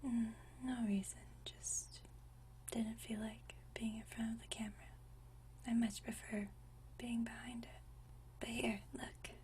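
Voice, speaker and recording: A woman playing a gentle, nostalgic century-old vampire in a whispered ASMR roleplay, speaking softly and intimately with unhurried, genteel old-fashioned phrasing. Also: a little high, little pitch movement, far from the mic, slight background noise, close to an everyday voice. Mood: quietly reminiscing. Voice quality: soft breathy whisper.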